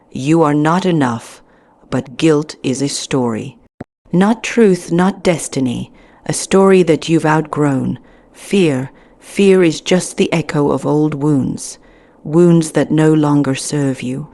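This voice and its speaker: A woman narrating a scripted guided meditation in a deliberate, soothing manner with frequent pauses. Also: low-pitched, dark tone, no echo.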